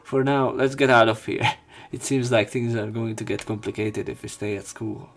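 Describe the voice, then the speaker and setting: A man narrating a visual novel playthrough, reading story text and dialogue aloud with some halting pauses. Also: fairly low pitch, little pitch movement, gravelly. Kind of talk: scripted narration and character line reading. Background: game music, keyboard typing.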